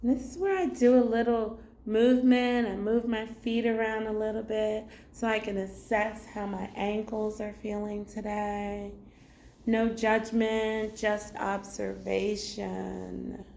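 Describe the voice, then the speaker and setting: A woman leading a guided body scan meditation as a teacher, giving gentle, unhurried instructions with long pauses. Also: medium pitch, steady tone, gravelly, slight background noise. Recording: roomy echo. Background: music.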